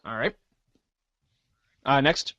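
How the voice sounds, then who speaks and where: A man's voice: mid-range voice, slow, sharp tone, dry recording, dull audio. A man casually hosting a readers' theater show.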